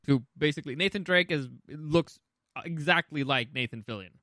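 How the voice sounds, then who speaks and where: Emphatic high-pitched man chatting about movies. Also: normal speed, pushed and bright, no room sound, studio quality. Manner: opinionated, emphatic, swears freely. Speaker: podcast host. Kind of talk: casual movie chat and commentary.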